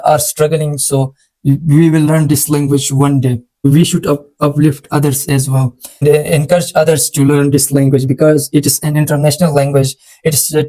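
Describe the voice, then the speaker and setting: A man whose first language is Urdu, speaking English with an Urdu accent, hosting an English-learning channel and giving informal advice to viewers in a halting way, full of hesitant fillers. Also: on the high side, warm tone, poor audio.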